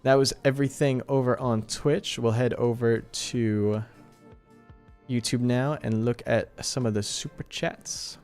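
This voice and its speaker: A man's voice: mid-range voice, steady tone, unhurried, darkish tone, clean tone, soft background music. A man hosting a livestream, chatting casually and informally in slangy, appreciative asides to his viewers. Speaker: live streamer and host.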